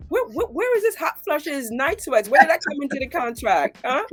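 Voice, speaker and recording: A woman's voice: high-pitched, very animated, moderate pace, soft background music. A middle-aged woman with a cold, sounding nasal and congested, talking casually as a doctor guest and acting out little quoted lines. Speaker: gynecologist guest. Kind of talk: casual interview talk.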